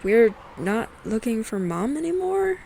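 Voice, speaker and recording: A woman's voice: fairly high pitch, sing-song, measured pace, mellow, a little hiss or hum. A woman playing a cheeky, blunt, sweary teenage daughter in an audio drama.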